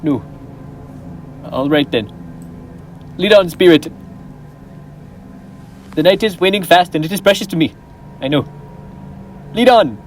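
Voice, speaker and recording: Teen guy doing old scrooge, begging and scared, high voice jumping all over, music behind. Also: lively intonation, measured pace, long pauses, a little hiss or hum. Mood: fearful. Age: teens. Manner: earnest, imploring. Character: old male miser Scrooge, fearful and pleading. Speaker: stage actor. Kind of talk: school stage play.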